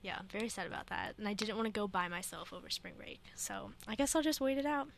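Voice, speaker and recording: A young woman co-hosting a college radio show, chatting casually and telling animated stories with laughs and acted-out quotes from a radio studio. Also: mid-range voice, quick pace, creaky, a little hiss or hum. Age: young adult. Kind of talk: casual chat.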